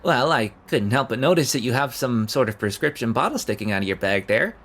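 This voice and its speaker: A man's voice: mid-range voice, lively intonation, a little fast, a bit bright, a little hiss or hum, lightly acted. A man acting in an audio drama as an awkward, overeager young man who stammers and rambles nervously. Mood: pushy, nervous. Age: young adult.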